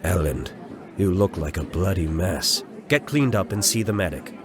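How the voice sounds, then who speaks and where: A man's voice: low-pitched, lively intonation, moderate pace, background noise. A man playing a stern, commanding army commander in a fantasy audio drama, giving curt, authoritative orders. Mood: brisk command. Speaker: voice actor.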